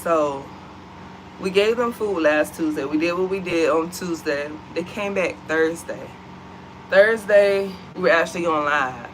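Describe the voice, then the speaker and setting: A woman's voice: fairly low pitch, measured pace, a little hiss or hum, low-quality recording. An American woman co-hosting a home vlog, chatting animatedly and addressing the viewers as y'all. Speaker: vlog co-host. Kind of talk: casual chat to viewers. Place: at home, seated.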